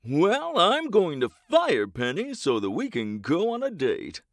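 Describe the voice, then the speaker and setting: A man's voice: a little high, wildly swooping pitch, measured pace, no room sound, heavily acted. A man playing a flamboyant, self-important and clueless millionaire superhero in a comedic audio drama, with a theatrical, grandiose manner. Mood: breezy self-importance.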